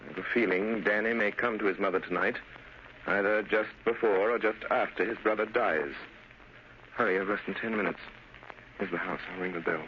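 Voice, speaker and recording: An actor playing a grave, philosophical gentleman detective in 1930s radio style, earnest, deliberate and oratorical. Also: on the low side, close to an everyday voice. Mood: brisk, urgent. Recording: scratchy, muffled old radio recording.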